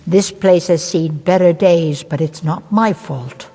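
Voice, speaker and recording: A plain-spoken apothecary's assistant from a fantasy game. Her voice is on the low side, earnest and weary, with a faintly resentful edge. It rings with long reverb over faint music. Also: unhurried, dark tone, breathy edge. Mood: exasperated. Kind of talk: video game character dialogue.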